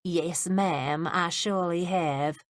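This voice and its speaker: A woman's voice: fairly low pitch, fairly even intonation, no room sound, clean studio sound. Woman playing a forthright, confident lady. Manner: brisk, confident, gentle with the old. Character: bold, forthright well-off lady.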